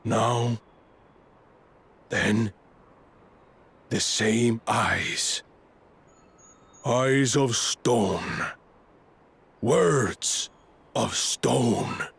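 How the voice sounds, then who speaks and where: Slow, husky, cryptic warrior. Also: male voice, medium pitch, fairly bright tone, heavily acted. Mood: grave, cryptic. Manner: halting, clipped, cryptic phrases. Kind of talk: scripted fantasy game acting.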